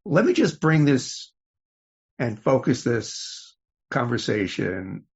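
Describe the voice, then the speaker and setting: A man's voice: mid-range voice, big pitch swings, slow, vocal fry, breathy edge. A man hosting a conversational podcast interview. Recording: slightly muffled, dull audio.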